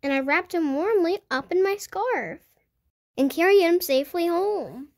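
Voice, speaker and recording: A woman reading a picture book aloud to children in an animated storytelling style. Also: extremely high pitch, a little slow. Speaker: children's storybook reader and host. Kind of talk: animated read-aloud narration for kids.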